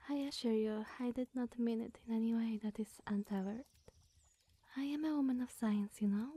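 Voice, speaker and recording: Woman playing a breathy, high-voiced flustered witch. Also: dark tone, no room sound. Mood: sheepish, awkward. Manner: rambling, awkward, quaintly wordy and apologetic. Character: flustered, eccentric young witch groundskeeper, clingy mad scientist. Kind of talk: ASMR character roleplay.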